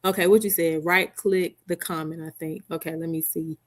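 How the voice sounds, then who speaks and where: A woman's voice: low-pitched, steady tone, moderate pace. A middle-aged woman hosting a casual live stream from home, chatting with viewers by name and laughing often. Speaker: livestream host. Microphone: headset mic.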